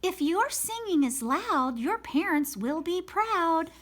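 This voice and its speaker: A cheerful female cat schoolteacher from a picture book, as a woman reading aloud puts her on. Her voice rides high and swoops up and down in a bright, sing-song way. She coaxes her pupils along gently. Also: expressive, slow, exaggerated voice. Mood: encouraging.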